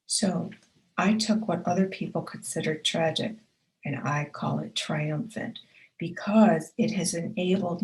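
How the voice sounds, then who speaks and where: An earnest woman delivers a motivational talk on her own show, with a slightly low voice. She stacks short, punchy affirmations and repeats key phrases for emphasis, speaking straight to the listener to encourage them. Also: a little mellow, rough recording. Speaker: author and publisher hosting her own show.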